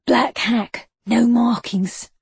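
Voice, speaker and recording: A woman's voice: a little high, steady tone, extremely slow, no echo, pitched above the performer's own voice, full character voice. A woman voicing Billy the Bolter, a shifty male Victorian street informant with a slangy voice, in an audiobook. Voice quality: rough slangy street talk. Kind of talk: audiobook character voice.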